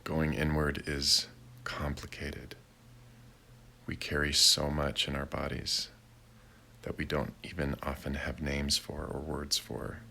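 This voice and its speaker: A man leading a guided meditation in a gentle, deliberate way, with long pauses between short phrases. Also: extremely low pitch, monotone, gravelly. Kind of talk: guided meditation, slow and unhurried.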